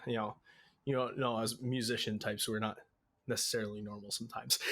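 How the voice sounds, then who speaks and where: A man's voice: mid-range voice, very animated, normal speed. Easygoing young man from Connecticut. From Connecticut, USA. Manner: easygoing, many "um"s and "you know"s, laughs at himself. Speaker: podcast guest, drummer. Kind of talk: casual interview answers.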